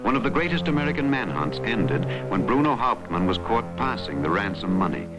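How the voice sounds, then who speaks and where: A man delivering scripted, measured and dramatic narration for a documentary. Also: lowish voice, big pitch swings, rough recording. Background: music bed.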